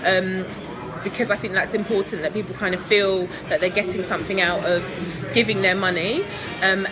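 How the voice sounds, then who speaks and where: Upbeat chatty woman, music behind. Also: mid-range voice. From England. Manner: chatty, upbeat, laughs often, many ums. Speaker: guest entrepreneur. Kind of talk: interview answers.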